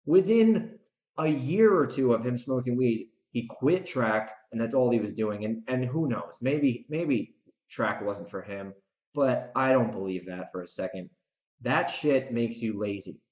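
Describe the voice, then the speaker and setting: A man's voice: mid-range voice, expressive, measured pace, a little mellow. American man ranting in an echoey room. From United States. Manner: opinionated, emphatic, talks directly to the listener. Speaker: podcast host and author. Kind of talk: casual solo podcast rant. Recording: dull, echoey room recording.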